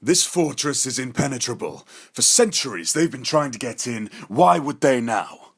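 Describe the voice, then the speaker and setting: A man's voice: mid-range voice, sing-song, normal speed, breathy edge. A man playing a stern, authoritative slayer leader and father in an amateur audio drama. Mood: confident.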